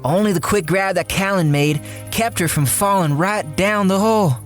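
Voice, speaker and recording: An actor playing a tough, impatient crook, narrating a noir audio drama in a gruff, slangy storytelling way over music. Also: male voice, high voice, moderate pace, lightly acted. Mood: grim, suspenseful. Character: tough, impatient male crook recounting a sinister tale.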